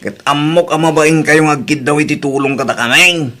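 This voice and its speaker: A man's voice: fairly high pitch, normal speed, bright, forceful tone. A man with an Ilocano accent playing a plain-spoken, concerned village husband in a conversational audio drama.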